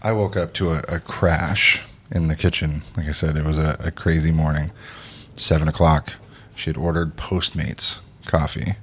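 A man in his thirties with a very deep voice hosts a casual chat. He tells stories with energy, voices the people in them, and swears freely. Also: moderate pace, husky. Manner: animated storyteller, acts out quotes, swears freely. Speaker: podcast host.

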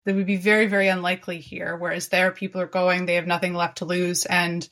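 A woman hosting a podcast talks slowly and thoughtfully, often pausing to find the next word. Her voice sits somewhat low for a woman. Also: flat delivery, fairly bright tone. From Canada. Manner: reflective, searching for words. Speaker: podcast host. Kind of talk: casual conversational interview.

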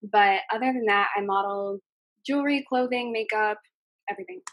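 A young woman from the United States talks in a soft, gentle voice, full of ums and likes as she answers questions. Her voice sits at an ordinary pitch for a woman. The recording is dry, with little room sound. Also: moderate pace, no echo. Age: young adult. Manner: hesitant, many ums and likes. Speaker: singer being interviewed. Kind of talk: casual interview answers.

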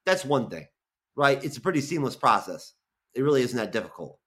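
A man's voice: mid-range voice, sing-song, brisk, fairly bright tone. A man of forty-one, a guest on a video call, talking casually, rambling with lots of restarts and easy swearing. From Florida. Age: 40s. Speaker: guest, content creator. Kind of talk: casual interview chat.

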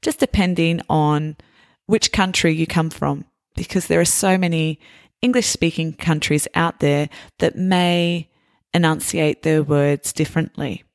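A woman hosting a podcast for English learners, explaining words in a slow, careful, scripted way. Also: low-pitched, steady tone, a little mellow, dry recording, clean studio sound. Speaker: podcast host.

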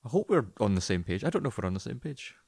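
A man's voice: on the low side, very animated, very fast, creaky, darkish tone, breathy edge, no echo. Sardonic man, dry close sound. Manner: sardonic, sweary, informal. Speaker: podcast co-host. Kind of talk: casual banter about films and games.

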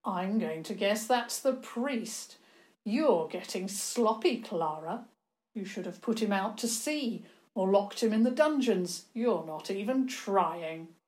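A woman's voice: medium pitch, expressive, measured pace, lightly acted, rough recording. A woman voicing a cruel, arrogant young nobleman in a storybook, mocking and condescending. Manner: sneering, condescending.

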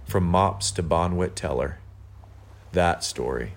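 A man recites poetry in a very low voice, taking his time with each deliberate phrase. The pace is very slow, and some background noise sits under the recording. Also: vocal fry. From United States. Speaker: guest poetry reader. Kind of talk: literary poem recitation.

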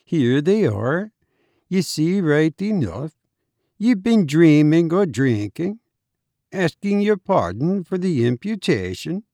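Man voicing a hearty, scoffing Irish doctor, pitched up. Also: very animated, slow, mellow, no room sound, full character voice. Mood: teasing. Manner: blunt, teasing, emphatic. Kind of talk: dramatised storybook reading.

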